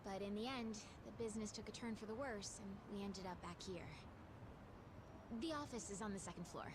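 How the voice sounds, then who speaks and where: A woman's voice: medium pitch, fairly quick, noisy background, close to an everyday voice. A woman playing a polite, earnest young woman in a video game. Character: polite, troubled young woman running family company. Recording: music behind the voice.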